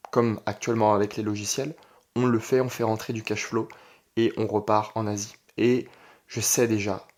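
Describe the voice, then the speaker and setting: A man's voice: on the low side, darkish tone. Young Frenchman talking off the cuff, emphatic. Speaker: online entrepreneur and video creator. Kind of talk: casual vlog monologue.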